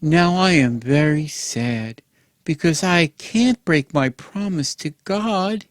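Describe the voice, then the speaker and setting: A man's voice: a little high, lively intonation, slow pace, no room sound, studio quality, voice pushed up. A man voicing a sorrowful, dutiful ancient father from a storybook, lamenting in simple, melodramatic words. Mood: mournful.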